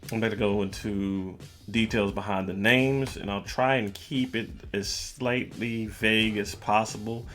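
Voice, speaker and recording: A man hosting a podcast, telling a personal story in a casual, measured way with music playing behind the voice. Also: lowish voice, fairly even intonation, fairly bright tone. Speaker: podcast host. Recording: music bed under the voice. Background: background music.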